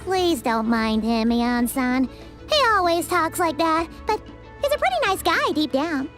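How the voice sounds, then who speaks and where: A woman voicing a small, chirpy, cheeky fairy companion with a childlike, chatty, expressive manner in a video game. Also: high voice, measured pace, faint music behind, exaggerated voice. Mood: cheerful, casual. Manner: chatty, expressive, refers to self by name.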